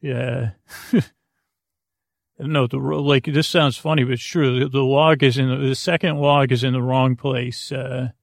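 A man with a gravelly, creaky voice in a dry, close recording. He hosts a bedtime podcast, meandering from tangent to tangent with hesitant restarts. The delivery is lulling and soothing, with very little rise or fall in pitch. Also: fairly even intonation, unhurried, dry recording. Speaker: podcast host. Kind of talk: rambling bedtime monologue to lull listeners to sleep.

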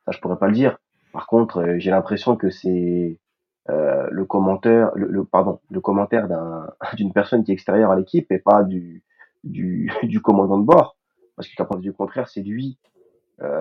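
A man co-hosting a casual football podcast, speaking in a measured way and repeating words for emphasis. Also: low-pitched, breathy edge, highs cut off. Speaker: podcast co-host.

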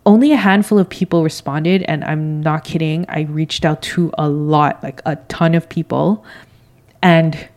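A Canadian woman in her thirties with a low-pitched voice talks alone as a podcast host. Her delivery is conversational and candid, with casual slang mixed in. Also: mellow. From Toronto, Canada. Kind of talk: solo podcast monologue, conversational.

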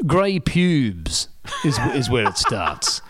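A man's voice: mid-range voice, wildly swooping pitch, extremely slow, noisy background. A middle-aged man telling stories in casual conversation, self-deprecating and laughing often, with many restarts.

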